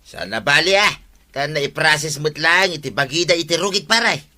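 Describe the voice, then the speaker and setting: An actor playing a cheeky, scheming village vendor, brash and wheedling, in a comedic radio drama. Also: male voice, a little high, animated, normal speed, pushed and bright.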